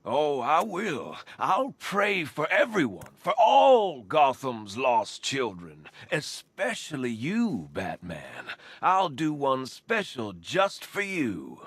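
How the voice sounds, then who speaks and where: A fanatical game villain who preaches slowly and sanctimoniously. His voice is husky and close, with a lively, swinging pitch that makes the calm menace feel righteous. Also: dry recording, exaggerated voice. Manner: slow, sermonizing, sanctimonious. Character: preaching zealot villain, male, serenely menacing and self-righteous. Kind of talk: video game villain dialogue.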